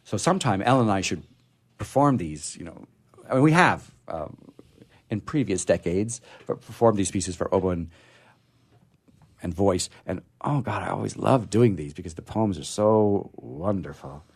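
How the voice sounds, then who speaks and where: A man reading poetry aloud in a measured way and commenting on it casually, as on a radio program. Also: sits low, lively intonation, husky.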